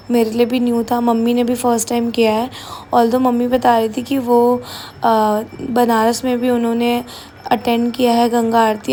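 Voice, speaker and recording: Slightly hoarse young woman narrating voice-over. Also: on the high side, dark tone, a little hiss or hum. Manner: casual, mixing Hindi and English. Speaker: vlogger. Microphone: voice-over recorded separately.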